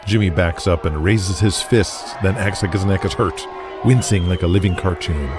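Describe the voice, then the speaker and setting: A man's voice: low voice, moderate pace, music in the background, a crowd in the background. A man narrating an audio drama from a script, describing each scene in the present tense.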